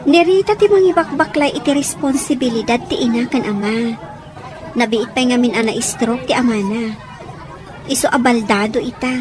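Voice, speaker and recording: Actress as a high-voiced, earnest Filipino friend. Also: female voice, moderate pace, natural voice. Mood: earnest, sympathetic. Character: sympathetic female friend explaining a family's hardship. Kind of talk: melodramatic Filipino radio-style drama acting. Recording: crowd noise behind the voice.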